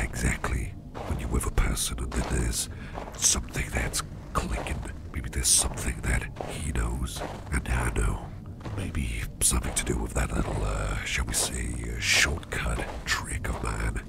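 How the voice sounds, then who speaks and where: A man playing a caring, slyly warm boss in an ASMR roleplay, talking in a gravelly half-whisper with a rambling, folksy, reassuring manner. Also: a bass voice, heavy background noise, heavily acted. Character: caring, gruff-voiced boss, a man with sly, soothing warmth. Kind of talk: soft ASMR roleplay, addressing the listener.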